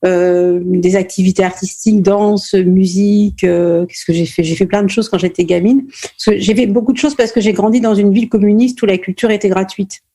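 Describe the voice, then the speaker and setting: A middle-aged woman from Normandy in France, a playwright and stage director answering interview questions thoughtfully, with many pauses and restarts. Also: mid-range voice, steady tone, warm tone.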